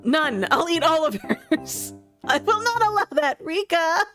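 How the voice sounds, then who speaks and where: A woman's voice: extremely high pitch, sing-song, unhurried, a bit bright, soft background music, pitched above the performer's own voice, exaggerated voice. A woman voicing a combative little girl with a prim, demanding, indignant manner in a story game. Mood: outraged.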